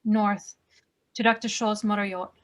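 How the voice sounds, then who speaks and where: A woman's voice: mid-range voice, level tone, unhurried, natural voice. A woman playing an anxious, determined expectant mother in a thriller drama table read. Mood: urgent, guarded.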